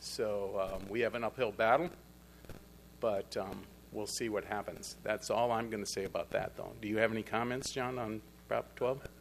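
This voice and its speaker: A man's voice: lowish voice, fairly even intonation, normal speed, vocal fry, slight background noise, low-quality recording. A man speaking at a conference panel, presenting and urging his listeners in a plain-spoken, earnest way. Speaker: conference presenter on the pork industry. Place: conference hall.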